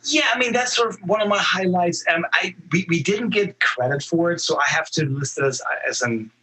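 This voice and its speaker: A man speaking as a guest actor in a casual podcast interview, telling stories in a halting, thoughtful way. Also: sits high, lively intonation, sharp tone, slightly rough, low-quality recording.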